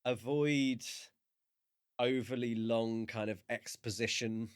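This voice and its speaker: A man co-hosting a podcast, analysing a book in casual talk with measured, halting phrasing. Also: medium pitch, steady tone, clear, resonant tone, no room sound, studio quality. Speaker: podcast co-host.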